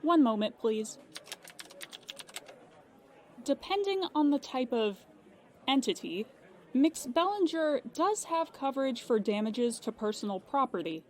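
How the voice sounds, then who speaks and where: A customer service agent in an audio drama with a notably high voice. She is formal, polite and unflappable, measuring out each phrase as if following procedure. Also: very animated, a little slow, pauses often, a little mellow. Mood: businesslike. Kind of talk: audio drama acting, scripted phone service.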